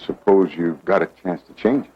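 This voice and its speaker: A man's voice: low-pitched, steady tone, brisk, husky. An actor playing a brusque man in an old television horror drama, on a muffled, noisy clip.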